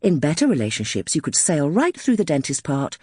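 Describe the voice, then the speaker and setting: A woman narrating first-person literary fiction as an audiobook, in a wry, expressive storytelling manner. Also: low-pitched, brisk, husky, dry recording, studio quality.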